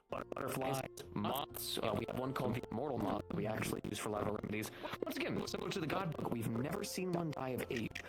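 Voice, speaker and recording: A man's voice: mid-range voice, poor audio. A man roleplaying a knowledgeable insect keeper at a fantasy hunters' guild, explaining patiently and informatively in an improvised style. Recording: faint music behind the voice. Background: faint music.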